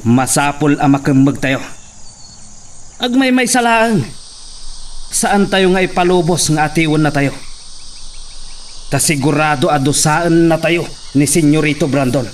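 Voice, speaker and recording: A man's voice: a little high, a little slow, pauses often, lightly acted. A man with an Ilocano accent playing an armed henchman who serves his master in a radio drama. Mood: stern, urgent. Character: deferential armed henchman leading a night search. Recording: faint cricket and insect noise.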